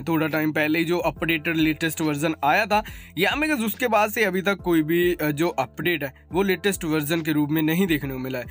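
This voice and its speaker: A man's voice: a little high, a bit bright. A man hosting a tech update voice-over, addressing viewers informally and mixing in English terms. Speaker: tech channel host. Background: background music.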